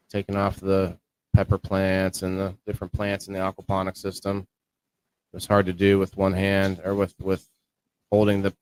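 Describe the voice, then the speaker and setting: Low-voiced, monotone, dry-sounding man. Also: clear, resonant tone, dry recording, rough recording. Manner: low-key, self-described monotone delivery. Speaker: co-host of a live-streamed indoor growing show. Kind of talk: casual livestream chat.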